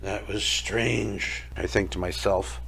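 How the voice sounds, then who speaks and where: A man narrating a first-person dramatic story in a reflective, measured way, reading from a script. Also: lowish voice, fairly even intonation, vocal fry, noisy background. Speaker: storyteller narrating his own audio play. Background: music.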